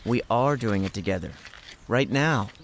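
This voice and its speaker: A man's voice: lowish voice, animated, moderate pace, vocal fry, slight background noise. A man playing an earnest, devoted young student in an audio drama. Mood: sincere, easygoing. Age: young adult.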